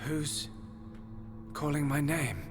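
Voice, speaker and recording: Earnest young hero, reverberant. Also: male voice, on the high side, slow pace. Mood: puzzled. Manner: earnest, questioning. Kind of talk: dramatic game cutscene acting. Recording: long reverb, music behind. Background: music.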